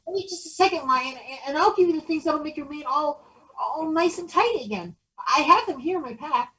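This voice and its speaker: A woman's voice: high-pitched, expressive, fairly quick, bright, forceful tone, rough recording. A woman voicing a timid, polite boy who hesitates and stammers as he pleads. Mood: helpful.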